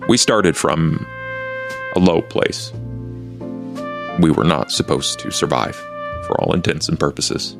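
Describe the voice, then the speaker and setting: A man playing a stoic, courteous, calculating male vampire in a tabletop game, speaking in a formal, measured way. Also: very deep voice, vocal fry, over music, exaggerated voice. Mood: solemn, reflective.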